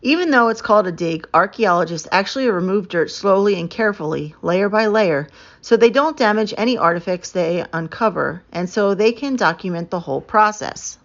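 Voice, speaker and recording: A woman narrating a children's book aloud in an expressive, emphatic storytelling manner. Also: mid-range voice, a little fast, highs cut off. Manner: animated, emphatic storytelling with stretched key words.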